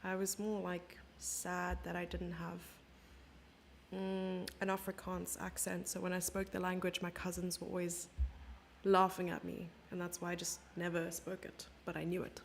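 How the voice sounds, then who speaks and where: A woman's voice: fairly low pitch, completely flat delivery, normal speed, gravelly, slight background noise. A South African woman giving live chess commentary and chatting with her viewers, reading their questions aloud and answering them. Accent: South African English.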